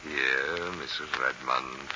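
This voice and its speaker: Deep-voiced sinister hypnotist, old radio. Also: male voice, fairly even intonation. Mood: silky, scheming. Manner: smooth politeness, slow commanding insistence. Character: sinister, calculating male fortune-telling hypnotist, coldly menacing. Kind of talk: 1940s radio drama acting. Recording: muffled, noisy 1940s radio recording.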